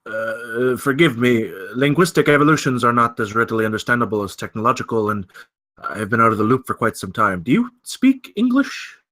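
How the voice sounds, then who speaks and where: A courteous older gentleman scientist, acted out by a male reader, with a bright, clear-edged tone and very little room sound. He speaks formally and wordily, like a learned man in a drama. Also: mid-range voice, very animated, brisk, no room sound, studio quality. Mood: polite, puzzled. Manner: formal wordy speech. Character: older silver-haired scientist, male, courteous, bookish, wounded.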